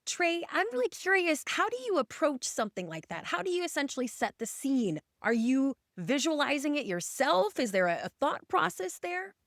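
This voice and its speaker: Woman, podcast host, somewhat high pitch, enthusiastic, chatty interview delivery, dry sound. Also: big pitch swings, moderate pace, few pauses, a bit bright, dry recording.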